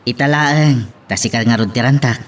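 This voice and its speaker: A man's voice: mid-range voice, moderate pace, close to an everyday voice. An actor playing a cheeky, eager suitor who drives a tricycle and pleads his case in a serial drama. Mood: breezy, teasing. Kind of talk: radio-style serial drama acting. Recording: faint background noise.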